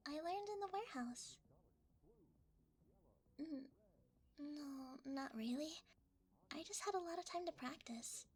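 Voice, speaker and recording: A woman's voice: high-pitched, a little mellow. A woman voicing a shy, cuddly shapeshifting creature in an ASMR roleplay, hesitant and easily flustered, often half-whispering. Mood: cozy, affectionate.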